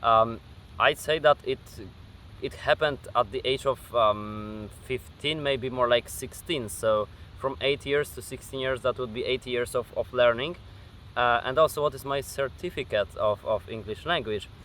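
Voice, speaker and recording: A man's voice: mid-range voice, moderate pace, fairly bright tone, slight background noise. An eighteen-year-old man with a Polish accent, answering viewer questions outdoors in a casual vlog, hesitant with many fillers and restarts. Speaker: gaming YouTuber. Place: outdoors at dusk. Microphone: camera facing him.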